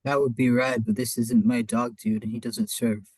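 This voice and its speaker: Man playing a laid-back, flat-toned surfer dude. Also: mid-range voice, normal speed, no echo, natural voice. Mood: mellow, impressed. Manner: casual, flat surfer slang.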